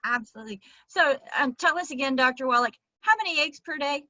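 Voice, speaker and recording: A woman with a bright, forceful and fairly high voice hosts a live call-in. She introduces callers and prompts the guest, over dry, somewhat dull video call audio. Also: lively intonation, fast talker, no echo. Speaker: host moderating a live call-in.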